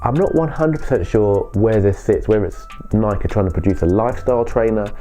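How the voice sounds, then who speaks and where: A man's voice: on the low side, fairly quick, warm tone. A British man, congested with a cold, giving a casual product review to camera as a sneaker reviewer.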